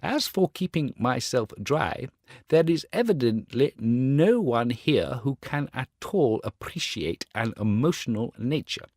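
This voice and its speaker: A man's voice: medium pitch, sing-song, unhurried, darkish tone, dry recording, studio quality. A man voicing a vain, pompous male firework rocket in a storybook reading. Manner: pompous, self-important, indignant.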